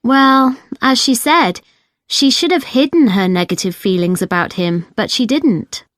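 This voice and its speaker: A woman's voice: a little high, a little slow, fairly bright tone, no echo. A woman playing a design student in a scripted, reflective, conversational discussion with a classmate. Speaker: actor in an exam listening recording.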